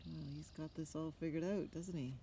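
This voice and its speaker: An older woman with a slightly low voice shares a picture book. She chats expressively between passages and puts questions to her listeners. The recording is outdoors, with some noise behind her. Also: steady tone, moderate pace, gravelly. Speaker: storyteller reading aloud. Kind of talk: picture-book read-aloud with casual asides to children. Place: outdoors on a forest floor. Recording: outdoor ambience with noticeable background noise.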